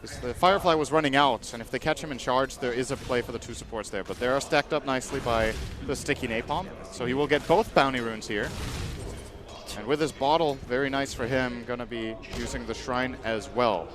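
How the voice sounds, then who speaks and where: Measured man giving esports analysis. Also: medium pitch, crowd noise. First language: English. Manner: measured, explanatory. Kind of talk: analytical color commentary.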